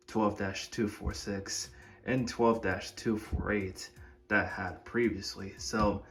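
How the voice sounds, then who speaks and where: A man presenting a collection in a casual showcase style, with halting, wordy phrasing. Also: fairly low pitch. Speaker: video creator presenting a collection. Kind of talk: casual product showcase narration.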